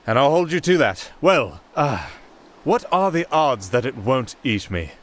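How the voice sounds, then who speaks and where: A man's voice: medium pitch, natural voice. A man playing a wry, nervy castaway entertainer in an ASMR roleplay, sarcastic and exasperated as he talks to an unheard partner. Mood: wary, resigned. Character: young male wandering entertainer, sardonic, reluctant, nervy castaway. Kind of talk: ASMR roleplay acting, one-sided dialogue.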